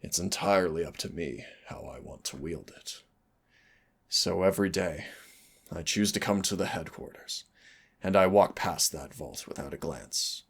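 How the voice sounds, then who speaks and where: A man playing a brooding, tender, winged demon prince in a romantic audio roleplay, speaking in a theatrical, intimate way with a deep, husky, gravelly voice. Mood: solemn, resolute. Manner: slow, theatrical, teasing endearments and solemn declarations.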